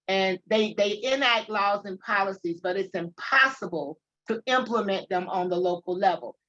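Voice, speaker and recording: A woman's voice: medium pitch, a bit bright. A woman legislator on a video call panel, explaining a point earnestly. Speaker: state legislator. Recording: dull video call audio.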